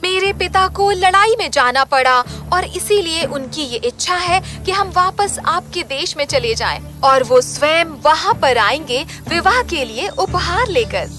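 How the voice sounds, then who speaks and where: A woman's voice: extremely high pitch, expressive, unhurried, few pauses, over music. A woman voicing a sly witch disguised as a sweet young princess, speaking politely and formally in a fairy tale. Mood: sweet, coaxing. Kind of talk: audio fairy tale voice acting.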